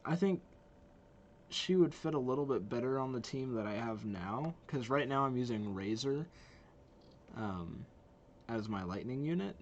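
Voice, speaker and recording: A man's voice: medium pitch, expressive, a little slow, lo-fi sound, slight background noise. A young man giving casual game reaction commentary, informal and exclamatory as he muses aloud. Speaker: video game reaction host.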